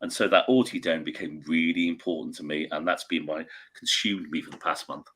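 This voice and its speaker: A man's voice: a bass voice, fairly quick, a bit bright, slightly rough. A man in his late fifties chatting casually on a video call, rambling and going off on tangents. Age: 50s. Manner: rambling, self-interrupting. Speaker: co-host. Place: small home room or shed, indoors. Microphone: webcam on video call.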